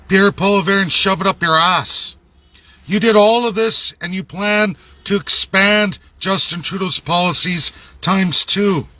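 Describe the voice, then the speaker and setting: Male political vlogger, Canadian accent, high forceful voice, emphatic and indignant, unscripted, swears freely. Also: dry recording. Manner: blunt, indignant, emphatic, profane. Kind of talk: unscripted political rant to camera. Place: laundromat.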